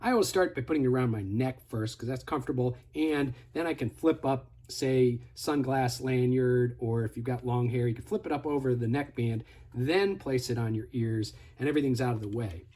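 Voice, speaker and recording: Slightly husky man demonstrating a product. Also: medium pitch, brisk, dark tone. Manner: step-by-step, instructive, conversational. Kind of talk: casual product tutorial, hands-on demonstration.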